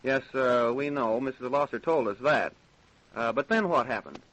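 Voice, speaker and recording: An actor playing a measured, formal American inquest examiner questioning witnesses in an old-time radio drama. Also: male voice, medium pitch, fairly bright tone, close to an everyday voice, rough recording. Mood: businesslike, patient.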